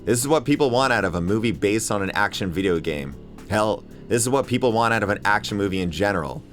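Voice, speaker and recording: A man's voice: on the low side, animated, quick pace, fairly bright tone. A man delivering an opinionated, emphatic scripted video essay as host, with casual swearing. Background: music bed under voice-over.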